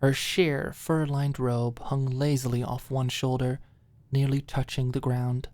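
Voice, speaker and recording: A woman's voice: rumbling low, flat delivery, a little slow, warm tone, no room sound. A woman reading scripted story narration as the narrator of an ASMR audiobook.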